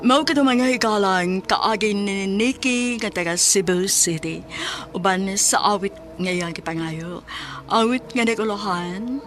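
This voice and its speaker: A woman's voice: medium pitch, measured pace, a bit bright. Cebuano-accented woman announcer over music. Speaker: radio drama host and announcer. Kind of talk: scripted radio announcing.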